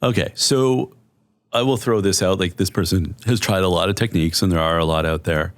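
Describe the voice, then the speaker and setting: A middle-aged man with a deep bass voice, speaking as a therapist guest in a measured, soothing, explanatory way. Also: no room sound. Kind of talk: conversational interview.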